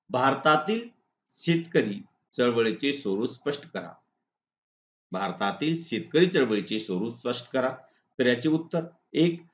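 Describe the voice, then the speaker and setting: A man's voice: medium pitch, fairly bright tone. Male teacher, Maharashtrian accent, instructional point-by-point delivery, muffled lo-fi recording with strong room echo. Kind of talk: exam-answer lesson, read out point by point. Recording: echoey room, dull lo-fi sound.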